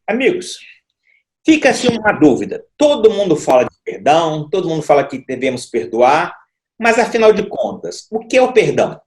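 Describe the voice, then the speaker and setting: A man hosting a panel discussion, posing questions with lively, rising intonation. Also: fairly high pitch, normal speed. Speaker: discussion host. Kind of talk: moderating a panel.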